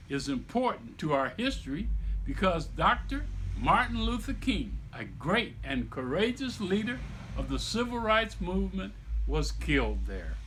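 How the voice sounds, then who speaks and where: A middle-aged man reading a book aloud like a storyteller, with emphatic, deliberate phrasing and explanatory asides to listeners. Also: high voice, sharp tone, slight background noise. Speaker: author reading own children's book aloud.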